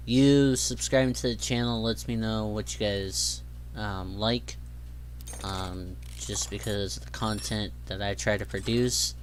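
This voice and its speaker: A young man talks casually over his gameplay, drifting from point to point and filling the gaps with plenty of ums. His voice sits a little low and his delivery is slow and unhurried, and a faint hum runs under the recording. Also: steady tone. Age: young adult. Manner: rambling, filler-heavy, easygoing. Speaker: gaming channel host.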